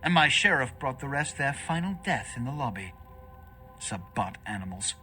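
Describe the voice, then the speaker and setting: Video game vampire prince: pompous, scheming, bright forceful voice, highly animated pitch. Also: male voice, normal speed, faint music behind. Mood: grave, commanding. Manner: pompous, formal, grandiloquent. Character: imperious, theatrical vampire prince, scheming and haughty. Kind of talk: dramatic video game voice acting.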